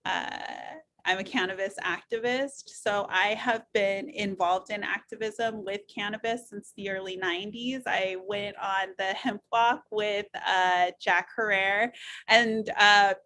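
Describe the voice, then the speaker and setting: A woman's voice: mid-range voice, steady tone, measured pace, bright, forceful tone. A middle-aged woman from Missouri, a nurse practitioner giving a loose, chatty webinar talk full of fillers and restarts. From United States (Missouri). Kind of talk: webinar presentation with slides.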